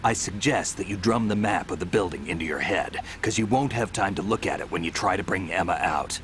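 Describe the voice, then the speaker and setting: An actor playing a gruff, terse veteran soldier who gives orders in a video game cutscene. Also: male voice, low-pitched, a little fast, a bit bright, a little hiss or hum. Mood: matter-of-fact. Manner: terse, blunt, no-nonsense.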